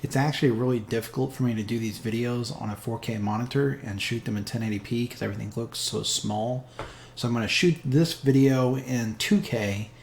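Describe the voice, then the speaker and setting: A man hosting a casual market update over a chart screen share, talking straight to viewers with frequent fillers, his voice slightly hoarse. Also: medium pitch, a little mellow, slight background noise. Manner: chatty, direct address with frequent fillers. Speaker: crypto market analyst, channel host.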